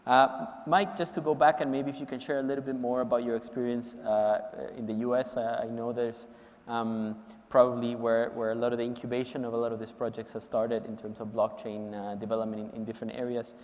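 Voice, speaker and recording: A man's voice: medium pitch, flat delivery, fairly quick, a little mellow. A man moderating a panel, asking long, polite questions with many restarts. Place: conference hall panel stage. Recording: reverberant conference hall.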